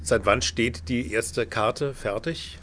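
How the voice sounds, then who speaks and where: A man's voice: mid-range voice, takes it slowly, fairly bright tone. Male presenter and interviewer, fluent and polished, suited to scripted reporting and conversational questions. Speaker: radio interviewer and narrator.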